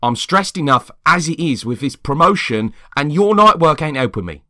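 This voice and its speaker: Exasperated, sleep-deprived man acted by a reader, loud. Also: a little high, sing-song, moderate pace, dry recording, clean studio sound, voice pushed up. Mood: aggrieved. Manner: exasperated, complaining, emphatic. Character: exhausted, irate neighbour driven to breaking point. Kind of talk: dramatised short story reading.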